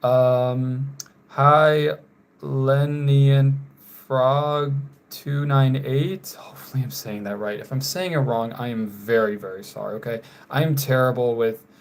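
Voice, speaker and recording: A young man from North Carolina chatting casually on a live stream while drawing. He often trails off quietly and apologizes for mispronouncing viewers' names. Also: mid-range voice, steady tone, slow pace. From North Carolina, USA.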